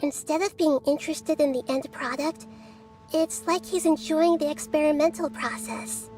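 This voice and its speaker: Woman acting as young game goddess, high-pitched, soft, measured, analytical, articulate, calm, faint music behind. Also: natural voice. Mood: pensive. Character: calm, wise, inquisitive young girl-like deity. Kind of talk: fantasy game dialogue.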